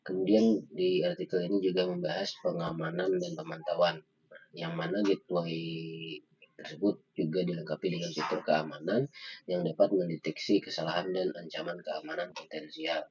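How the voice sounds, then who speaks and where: A young man giving a halting student slide presentation, pausing often on fillers. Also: low-pitched, steady tone, a little mellow. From Indonesia. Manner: halting, with frequent filler pauses. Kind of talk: slide presentation of an article review. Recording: dull lo-fi microphone sound.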